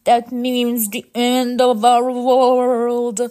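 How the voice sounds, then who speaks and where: A woman's voice: medium pitch, flat delivery, slow, a bit bright, clean tone. A woman voicing a friendly, earnest robot guide who explains things politely in a children's storybook. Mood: alarmed.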